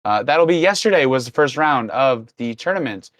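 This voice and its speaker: Hoarse man talking sports. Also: medium pitch, animated, normal speed, a bit bright, no room sound. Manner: rambling, self-correcting. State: hoarse, lost his voice. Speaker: podcast co-host. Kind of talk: casual sports chat.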